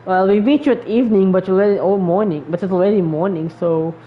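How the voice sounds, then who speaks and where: A woman's voice: medium pitch, quick pace. A woman giving casual, rambling gameplay commentary in an informal way. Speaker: gameplay streamer. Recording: dull lo-fi mic over game noise.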